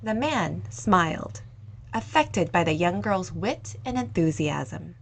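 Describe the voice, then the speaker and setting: Woman narrator, short story reading, calm, measured, lively intonation. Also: on the low side, creaky, slight background noise, low-quality recording. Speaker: audiobook reader.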